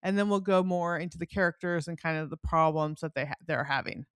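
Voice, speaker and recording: A woman's voice: low voice, fast, smooth, no room sound, studio quality. A middle-aged woman hosting a casual book interview, enthusiastic and chatty, often interrupting her own sentences as she rambles. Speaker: podcast host.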